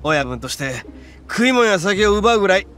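A swaggering horned oni gang boss. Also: male voice, high-pitched, very animated, moderate pace, a bit bright, slight background noise. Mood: cocky. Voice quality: rough, swaggering, calls himself grandly. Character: brash boastful horned oni gang boss, male adult. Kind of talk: Japanese game voice acting. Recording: game audio with faint music underneath.

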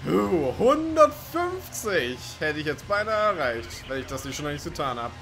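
A man with a fairly high voice commentates a video game casually. He is sarcastic and drops in chuckling asides. Music plays lightly behind him. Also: very animated, unhurried, fairly bright tone. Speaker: let's play commentator. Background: game music and car sounds.

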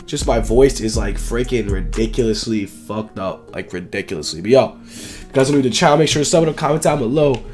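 Raspy young man, sick with flu. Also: medium pitch, expressive, a little fast, a little mellow, soft background music. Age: 20s. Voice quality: raspy, dry voice. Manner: slangy, informal, repetitive emphasis. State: sick with flu, sore throat, coughing. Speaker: gaming YouTuber, host. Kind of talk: casual gaming video commentary.

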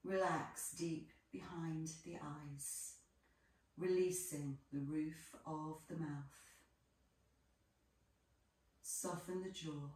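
A woman yoga teacher leading a guided relaxation with gentle, measured phrases and pauses between them. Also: deep voice, fairly even intonation. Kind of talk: guided relaxation, soothing instruction. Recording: echoey room, distant microphone.

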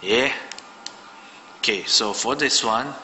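A man's voice: mid-range voice, takes it slowly, in a large hall, music in the background. A man from Malaysia casually demonstrating a radio at his desk, thinking aloud with many fillers. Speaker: hobbyist radio reviewer. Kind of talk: casual hands-on product demo. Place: indoor room at a desk.

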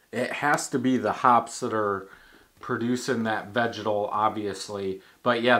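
A man in his thirties giving a casual beer tasting review at a home bar, thinking aloud with halting restarts. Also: mid-range voice, a bit bright. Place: home bar in front of a banner.

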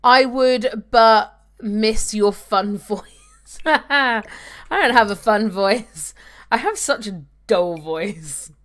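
A woman's voice: mid-range voice, very slow, fairly bright tone. A young woman streaming a game from home, chatting casually and reading out viewer comments into a desk microphone. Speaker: gaming streamer. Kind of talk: casual live-stream chat while playing. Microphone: desk microphone on a webcam stream.

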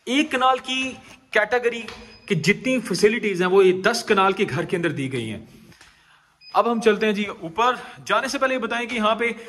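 A man's voice: high voice, normal speed, fairly bright tone. A man with a Pakistani Urdu accent walking a camera through an empty house, narrating a property tour in an upbeat salesman's patter with English phrases mixed in. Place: empty house, large tiled rooms indoors. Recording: echoey empty rooms.

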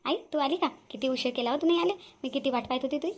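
A woman's voice: high-pitched, fast, over loud music, natural voice. A woman voicing an eager, dutiful young mother in a cartoon family drama, with a Vidarbha Marathi accent. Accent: Vidarbha Marathi (Varhadi).

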